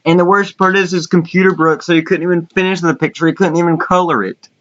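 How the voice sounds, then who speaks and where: A man's voice: a little high, fairly even intonation, fast talker, fairly bright tone. An informal man talks off the cuff as a contest co-host. His delivery is blunt and conversational, and the recording is dull and lo-fi. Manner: blunt, informal, swears casually. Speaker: online contest co-host and judge. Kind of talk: casual unscripted chat. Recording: muffled lo-fi voice recording.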